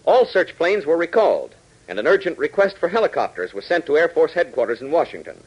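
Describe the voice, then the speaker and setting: A man's voice: fairly high pitch, very animated, fast talker, husky, dull audio. An American man announcing and narrating a 1940s radio adventure serial, with scripted, emphatic, dramatic delivery. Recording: old 1940s radio broadcast.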